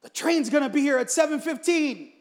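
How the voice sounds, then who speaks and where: A man's voice: sits high, moderate pace, a bit bright, in a large hall. A man voicing a frustrated, pleading schoolboy grandson in a told story. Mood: frantic.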